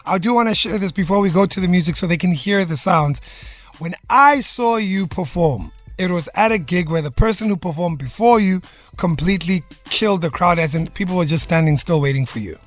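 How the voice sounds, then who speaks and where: A man from South Africa hosting a radio show in the studio, hyped and quick to affirm his guest. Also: high voice, expressive, dry recording, faint music behind. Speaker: radio host.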